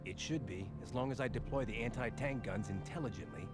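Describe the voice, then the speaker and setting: An actor playing a self-assured army major who speaks tersely and confidently in a war game cutscene. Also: male voice, mid-range voice, a little fast, lightly acted. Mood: confident, grave. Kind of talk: video game cutscene dialogue. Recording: music behind the voice.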